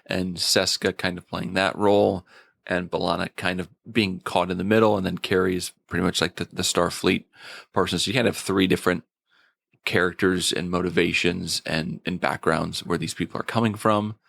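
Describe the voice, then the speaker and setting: Low voiced guy w some gravel, talking thru an episode review, thoughtful n a bit halting, dry close sound. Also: flat delivery, fairly bright tone, dry recording.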